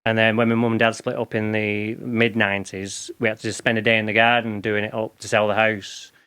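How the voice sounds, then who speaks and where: A man's voice: on the low side, level tone, brisk, sharp tone, clear, resonant tone. A man in his forties with a Lancashire accent, a podcast guest telling candid, rambling stories about his working life. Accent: Lancashire English. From England. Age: 40s. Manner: rambling, candid storytelling with restarts. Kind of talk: casual interview chat.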